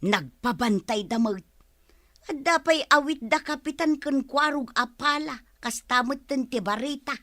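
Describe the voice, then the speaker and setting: A woman's voice: high voice, a little slow, a bit bright, dry recording, clean studio sound. An actress playing a nosy village woman in a radio comedy-drama, exclamatory and inquisitive. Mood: chatty.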